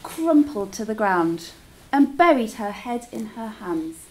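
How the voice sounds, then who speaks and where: High, slow, expressive woman storyteller. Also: rough recording. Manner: dramatic, engaging listeners with questions. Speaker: teacher reading a story aloud.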